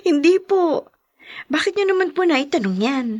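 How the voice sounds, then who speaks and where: A woman's voice: extremely high pitch, no room sound, studio quality, exaggerated voice. An actress with a Filipino accent, playing a spirited young single woman in a radio drama. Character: young single woman, feisty but shy. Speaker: daughter.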